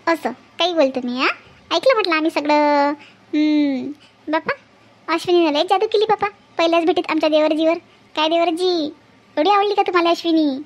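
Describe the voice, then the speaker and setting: Very high-pitched young sister-in-law, acted by a woman. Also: normal speed. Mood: teasing. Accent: Vidarbha Marathi. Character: gentle young sister-in-law, teasing but easily wronged. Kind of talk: amateur cartoon family drama.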